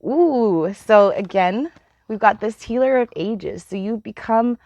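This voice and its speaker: A woman giving a casual spiritual card reading, chatty and meandering, with a slightly hoarse voice. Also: medium pitch, slow, darkish tone, no room sound, dull audio. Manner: chatty, meandering, laughs often, swears casually. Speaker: tarot reader.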